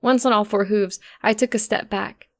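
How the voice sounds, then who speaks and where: A woman reading scripted fiction aloud as an expressive story narrator. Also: mid-range voice, normal speed.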